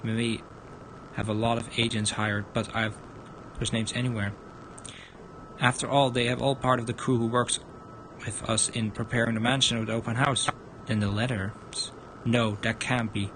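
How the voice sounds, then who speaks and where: A man's voice: medium pitch, level tone, moderate pace, noisy background. A man livestreaming a visual novel, reading a horror story aloud as dramatic narration and stumbling over words now and then. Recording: loud music over the voice. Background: loud music.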